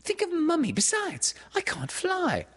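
A narrator voicing a well-mannered, excitable young girl in a storybook, prim and given to gushing exclamations. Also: extremely high pitch, measured pace, long reverb tail, pitched above the performer's own voice, heavily acted. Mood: excited, gushing.

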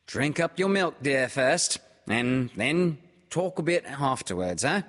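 A man voicing a gentle, motherly female kangaroo, in a reverberant hall. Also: mid-range voice, animated, takes it slowly, voice pushed up.

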